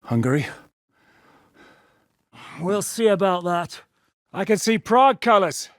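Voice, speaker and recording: An actor playing a gruff, battle-hardened rebel commander in a video game, barking orders, swearing and mocking his foes. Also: high-pitched, lively intonation, slow pace, dry recording, clean studio sound. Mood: commanding.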